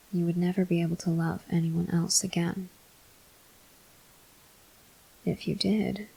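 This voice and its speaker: A woman voicing a brooding, weary male vampire soldier in an ASMR roleplay, in a breathy, husky whisper with slow, grave delivery. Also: lowish voice, darkish tone, exaggerated voice, rough recording. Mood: grave, hesitant.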